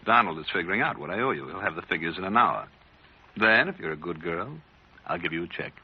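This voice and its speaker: An actor playing a gruff, smug racketeer boss, terse and condescending, in old-time radio crime drama style. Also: male voice, sits low, lively intonation, fast talker, heavily acted. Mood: smug, dismissive. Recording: muffled, lo-fi old radio recording.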